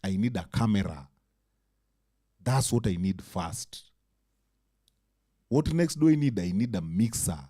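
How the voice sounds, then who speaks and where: A man with a big voice, co-hosting a casual podcast, animated and swearing freely. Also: on the low side, a little slow, pauses often. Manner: animated, swears freely, slips into Swahili words. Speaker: podcast co-host.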